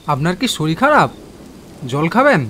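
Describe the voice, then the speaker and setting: A man's voice: fairly high pitch, sing-song, a little slow, fairly bright tone, a little hiss or hum. A man playing a polite, slightly nervous office worker stranded at night in an audio horror drama. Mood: concerned.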